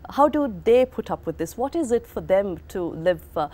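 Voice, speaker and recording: A woman interviewing a guest on TV, asking measured questions with many fillers. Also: mid-range voice, lively intonation, mellow.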